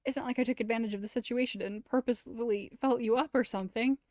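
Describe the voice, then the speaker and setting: A woman's voice: a little high, steady tone, fast, a little mellow, no echo, clean studio sound, pitched above the performer's own voice, natural voice. A woman voicing an earnest, awkward young man in a visual novel, apologetic and rambling. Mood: flustered, pleading.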